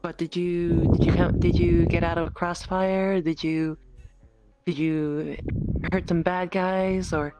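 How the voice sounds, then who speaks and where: A woman's voice: on the low side, flat delivery, slow pace, over loud music, low-quality recording. A woman playing a stressed, caring friend in improvised role-play, hesitant and often repeating her words. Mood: teasing. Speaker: role-player in a virtual world.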